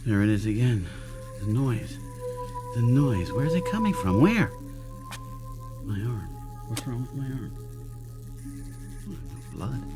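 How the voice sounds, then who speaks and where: A man's voice: medium pitch, big pitch swings, slow, pauses often, over loud music. An actor playing a distraught, incredulous man who learns he is an android, in a radio drama style. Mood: panicked, horrified. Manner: agitated, pleading, incredulous.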